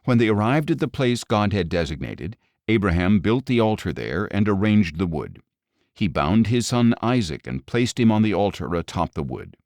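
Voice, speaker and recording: A man with a deep voice narrates scripture for an audio Bible. His reading is measured yet expressive, with wide swings in pitch. The sound is close and dry, free of room echo.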